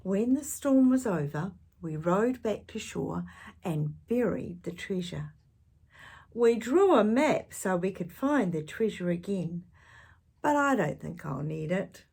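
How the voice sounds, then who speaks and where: A woman reading a picture book aloud to young children, expressive and dramatic, with gentle questioning asides. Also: mid-range voice, slow, gravelly, slightly rough. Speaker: children's storytime reader.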